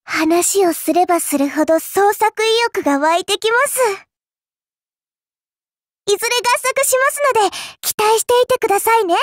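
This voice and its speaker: Very high-pitched, sly little fairy girl. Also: moderate pace, no echo, studio quality. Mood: sweetly cheerful. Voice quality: cutesy, girlish. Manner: polite, sweetly teasing, faintly condescending. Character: childlike, cute, sly fairy-like girl, politely mischievous. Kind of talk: Japanese mobile game character voice lines.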